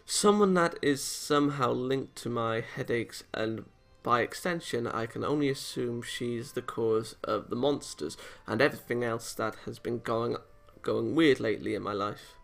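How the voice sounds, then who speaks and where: A man's voice: mid-range voice, vocal fry. A man hosting a let's play, reading a visual novel aloud with casual comments and often stumbling over lines. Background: game music.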